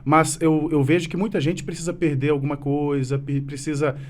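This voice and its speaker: A man's voice: a little high, little pitch movement, normal speed. A man in his thirties from Minas Gerais with a fading mineiro accent, answering interview questions earnestly and rambling with many restarts. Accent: mineiro Brazilian Portuguese, fading. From Minas Gerais, Brazil. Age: 30s. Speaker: business association president, guest. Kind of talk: casual interview conversation.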